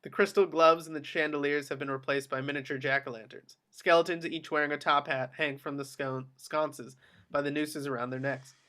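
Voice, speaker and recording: Male narrator, fairly high, bright forceful tone, reading scripted action description. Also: fairly even intonation, brisk. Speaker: narrator reading stage directions. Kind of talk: scripted narration for a table read.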